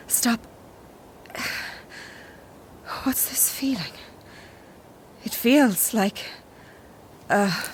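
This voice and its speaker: An actress playing a woman recording a final phone log in an audio horror drama, halting and intimate. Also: a little high, a little mellow. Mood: confused, dazed. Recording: noisy phone voice memo with ambience.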